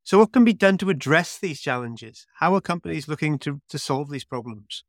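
A man's voice: fairly high pitch, lively intonation, brisk, fairly bright tone, no room sound, studio quality. A man hosting an interview podcast, summing up points and putting questions to a guest. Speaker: podcast host.

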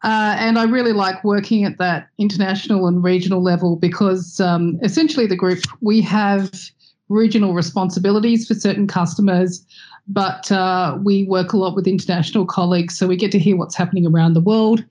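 A woman's voice: medium pitch, steady tone, a little fast, darkish tone, dull audio. A woman, a guest on a podcast, answering in a friendly, chatty way with many ums. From Australia. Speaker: guest expert.

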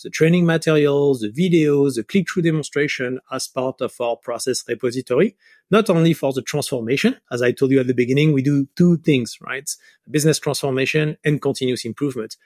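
A man's voice: medium pitch, no echo, clean studio sound. A middle-aged man with a French accent giving explanatory answers as a guest in a conversational interview. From France. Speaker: podcast guest, business software advisor.

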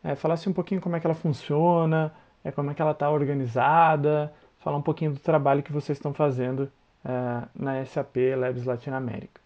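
A man's voice: on the high side, flat delivery, fairly quick, hardly any pauses, dull audio. A man hosting a podcast in a friendly, casual way, with many hesitation fillers. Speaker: podcast host.

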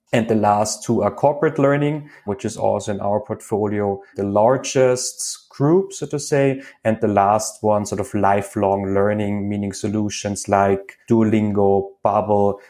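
A man's voice: lowish voice, mellow. A man in his thirties from Austria with an Austrian accent, a podcast guest who explains things at length with frequent ums and repeated words. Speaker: guest, venture capital associate. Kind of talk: podcast interview.

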